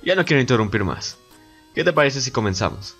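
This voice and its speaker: A man's voice: mid-range voice, sing-song, a little fast, a bit bright, slightly rough, highs cut off. A man with a mid-range voice co-narrates a trivia video. He reads scripted facts as a guest, with faint music behind him.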